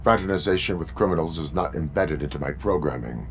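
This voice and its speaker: A stern cyborg cop, speaking in a clipped, mechanical way with an extremely deep voice that still moves up and down. He sounds like a video game cutscene character. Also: fast talker, a little hiss or hum. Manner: flat, clipped, mechanical delivery. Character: stern cyborg police officer, male adult.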